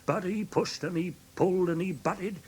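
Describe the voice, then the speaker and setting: An actor playing a flustered, credulous English pawnbroker in a British radio drama, hesitant and rambling as he tells his story, with a husky, rough voice. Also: sits high, moderate pace, darkish tone. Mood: animated recounting. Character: flustered, credulous red-haired male London pawnbroker, excitable storyteller.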